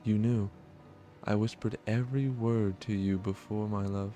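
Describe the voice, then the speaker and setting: An abandoned wife back from death speaks in a visual novel, read by a man in his low voice. She is poetic and solemn, full of love and reproach, over faint music. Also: steady tone, unhurried, warm tone, lightly acted. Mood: eerie, reproachful. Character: eerie, lovelorn, reproachful wife returned from death.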